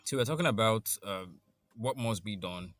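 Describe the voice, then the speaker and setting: A man's voice: on the low side, lively intonation, moderate pace, fairly bright tone, dry recording. A man co-hosting a podcast in casual chat, rambling and restarting his thoughts as he thinks aloud. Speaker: podcast co-host.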